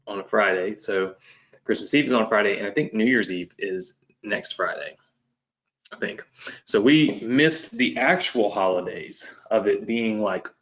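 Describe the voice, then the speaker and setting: A low-voiced man in his late thirties hosts a live online business show, chatting loosely and circling back with lots of fillers and restarts. The stream audio is dull and lo-fi, and he sounds like he's at a home webcam setup. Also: fairly bright tone. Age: 30s. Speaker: host of a live business webinar. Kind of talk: casual live-stream talk. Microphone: webcam setup at home desk.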